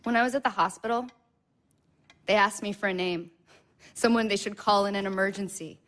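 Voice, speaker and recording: An actress playing an intense, wounded, clinging woman who speaks with deliberate insistence in a contemporary audio drama. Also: mid-range voice, steady tone, a bit bright, lightly acted. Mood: pleading, intense.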